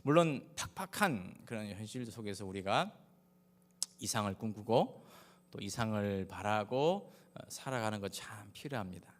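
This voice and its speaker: Low-voiced Korean pastor with Gyeongsang accent. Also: male voice. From Daegu, South Korea. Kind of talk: sermon with personal anecdotes. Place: large reverberant church hall. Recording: echoey hall with long reverb.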